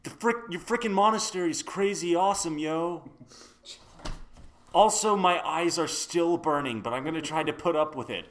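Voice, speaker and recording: Stammering, playful tabletop player. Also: male voice, a little high, normal speed, fairly bright tone. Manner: stammering, playful in-character asides. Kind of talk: casual tabletop play.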